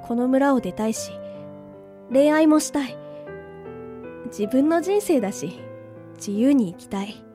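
Very high, cute teenage girl, played by a woman; bright, wistful radio-drama heroine with music behind. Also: expressive, measured pace, pauses often. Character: bright, wistful 19-year-old village girl, kind big sister. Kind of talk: Japanese audio drama acting with monologue.

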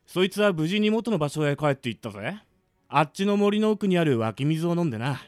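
A man playing a scheming, folksy ghost with rough, wheedling speech in a radio drama. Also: on the high side, sing-song, brisk, no room sound, clean studio sound. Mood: sly, coaxing. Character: scheming rough male ghost, folksy drinker, falsely friendly. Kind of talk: amateur radio drama acting.

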